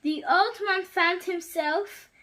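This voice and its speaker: A woman's voice: very high-pitched, slow pace. A female host reading a story aloud expressively, with exclaimed asides to the viewers. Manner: animated, exclaiming reactions.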